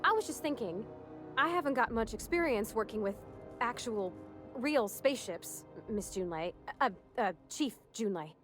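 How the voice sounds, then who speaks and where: A shy young female mechanic from a video game, with a fairly high voice. She speaks in an earnest, halting way, eager underneath, with music clearly playing behind her. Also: lively intonation, a bit bright. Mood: shy, nervous. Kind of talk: video game character acting.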